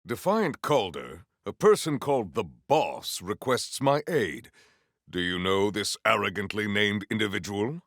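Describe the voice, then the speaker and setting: Proud warrior for a video game, super formal and grand, swoops up and down a lot, dry close sound. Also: male voice, fairly low pitch, animated, fairly bright tone, dry recording, exaggerated voice. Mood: formal, earnest. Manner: grandiose, stiffly formal, earnest, deliberate. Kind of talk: video game character voice lines.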